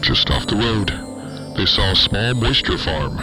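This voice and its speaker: A man's voice: lowish voice, animated, unhurried, over music. A man playing a brooding young space villain, solemnly narrating a radio-style ghost story with self-importance. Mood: solemn, spooky.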